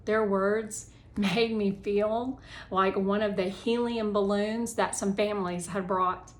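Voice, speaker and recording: A woman reads a children's novel aloud with expressive, animated delivery. Her voice sits at an average pitch for a woman. She hosts the read-aloud and brings the dialogue to life. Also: slow.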